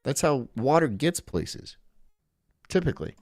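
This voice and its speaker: A young man from Florida chatting casually on a live stream, rambling through jokes and deadpan absurd asides. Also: medium pitch, a little slow, no room sound, clean studio sound. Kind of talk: casual live-stream chat reacting to a video.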